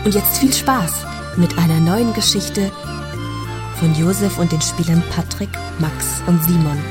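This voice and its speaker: A woman with a fairly low voice delivers a scripted introduction as an announcer. Traditional accordion music plays clearly behind her. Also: measured pace. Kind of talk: scripted narration.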